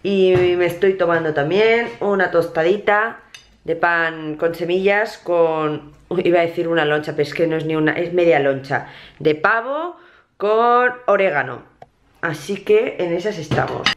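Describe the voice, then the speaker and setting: A woman's voice: on the low side, normal speed. A young woman chatting casually to her viewers in a home vlog, filmed on a handheld camera at arm's length in a small apartment. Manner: chatty, expressive, chatty asides to viewers. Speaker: vlogger. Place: small apartment living room, indoors.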